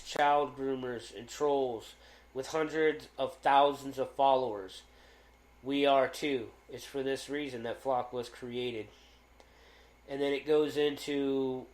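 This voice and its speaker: A man co-hosting a casual podcast, loud-voiced and rambling, with lots of fillers and restarts. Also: mid-range voice, roomy sound. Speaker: podcast co-host.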